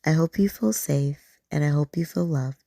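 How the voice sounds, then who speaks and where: A woman reading a bedtime story aloud in a soft, gentle, soothing way, close to the mic. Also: sits low, normal speed, gravelly, no echo.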